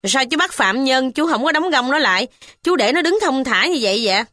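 A woman voicing a shrill, imperious older village matron who scolds and bullies. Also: expressive, rapid-fire, sharp tone, no echo, clean studio sound. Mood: scolding.

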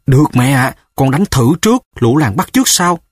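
A man's voice: mid-range voice, big pitch swings, moderate pace, no room sound, clean studio sound. A man voicing a stubborn, determined young village man who speaks firmly and insistently. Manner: firm, insistent.

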